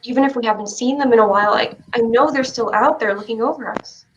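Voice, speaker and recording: An anxious child pleads in a high voice performed by a woman. The delivery is that of a staged play reading. The sound is slightly dull, like livestream call audio. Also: fast talker, a little hiss or hum, lightly acted. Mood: wistful. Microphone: video call.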